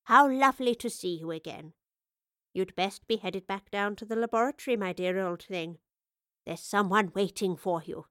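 A woman voicing an elderly professor who speaks slowly, gently and knowingly, as in a storybook. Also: medium pitch, no echo, clean studio sound, natural voice. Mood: tender.

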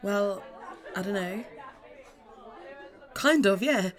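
A woman's voice: medium pitch, lively intonation, very slow. An actress playing a nervous, eager young woman in a radio play, apologetic, hesitant and polite in naturalistic dialogue. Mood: nervous, admiring. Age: young adult. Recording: busy restaurant chatter behind. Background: restaurant crowd chatter.

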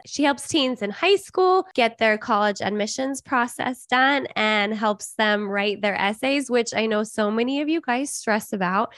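A woman's voice: on the high side, a bit bright, no room sound, clean studio sound. A young woman hosting a podcast, bubbly and chatty, her voice scratchy from a cold. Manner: bubbly, addresses listeners as "you guys". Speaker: podcast host. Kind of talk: upbeat podcast chat.

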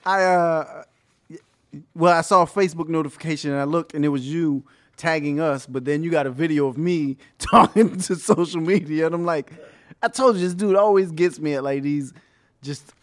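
A man's voice: fairly high pitch, normal speed. A young man chatting casually, eager and playful, setting up a game among friends. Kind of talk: casual chat.

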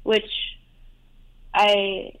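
A woman's voice: mid-range voice, monotone, extremely slow, clean tone. A young adult woman whose first language is Farsi, calling in to an advice show and talking hesitantly with many fillers and restarts. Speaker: caller. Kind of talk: call-in advice talk. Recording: tinny phone line.

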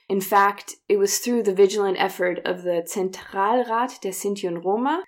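A woman co-hosting a discussion podcast, explaining and criticizing in an earnest, conversational way. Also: mid-range voice. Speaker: podcast co-host.

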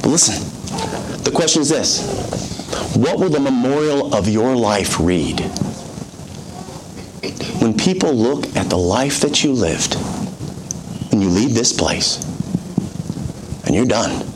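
A pastor with a soothing voice, preaching a conversational sermon with self-deprecating jokes in a church service. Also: male voice, medium pitch, animated, slow, long pauses, noisy background.

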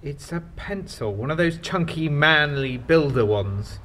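A man's voice: a little high, animated, a little slow, a bit bright, slight background noise. A man playing an awkward, apologetic young British bachelor who stammers, in a comedy audio drama. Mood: sheepish. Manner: hesitant, stammering.

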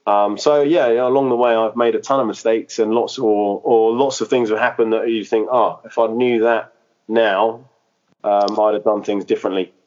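A man's voice: on the low side, steady tone, normal speed, a little mellow, highs cut off. A man who planted a church, speaking in a podcast interview, hesitant and full of fillers and restarts. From England.

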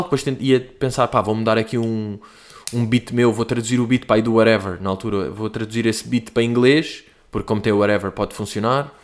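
Rambling young Portuguese man, slangy chat. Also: medium pitch. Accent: European Portuguese. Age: young adult. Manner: rambling, slangy, self-mocking. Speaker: comedian host answering listener questions. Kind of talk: casual monologue.

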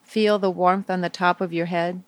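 A woman leading a guided visualization for young children, speaking in simple, soothing phrases with gentle pauses. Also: lowish voice, little pitch movement, clean tone, no room sound.

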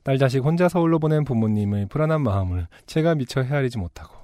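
Lively man reading listener letters. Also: mid-range voice, fast talker, no echo, studio quality. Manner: reads letters aloud with laughing asides. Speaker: podcast co-host reading listener stories. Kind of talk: casual chat and letter reading.

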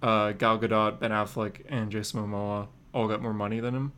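A man co-hosting a podcast in casual news chat, with a hesitant, apologetic and halting way of talking. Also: on the low side, little pitch movement, a bit bright, clean tone. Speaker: podcast co-host.

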